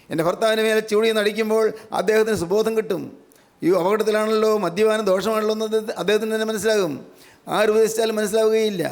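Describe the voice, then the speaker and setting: A male preacher with a notably high voice delivers a devotional sermon. He exhorts earnestly, building through short repeated phrases that shift between prayer and direct appeal to listeners.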